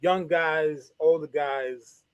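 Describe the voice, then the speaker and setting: A man with a notably high voice co-hosts a talk show, speaking in a wry, measured way as he thinks his answers through. The recording is dry and close, with no room sound. He answers in a deliberate, thoughtful manner. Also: steady tone, clean tone, no echo, lo-fi sound. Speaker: co-host. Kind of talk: casual talk show discussion.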